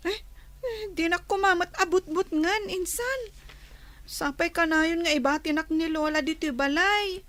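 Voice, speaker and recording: Woman acting a very high-pitched, shy girl. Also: normal speed, fairly bright tone, dry recording. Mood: bashful, modest. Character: shy, bashful young female cousin. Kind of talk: audio drama acting.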